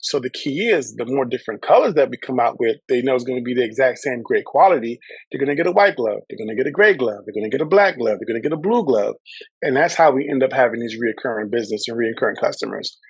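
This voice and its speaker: A man's voice: mid-range voice, expressive, quick pace, breathy edge, no room sound. A man from Trinidad, a company founder speaking as a guest, talking emphatically and repeating his phrases with salesman-like enthusiasm. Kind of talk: casual interview chat.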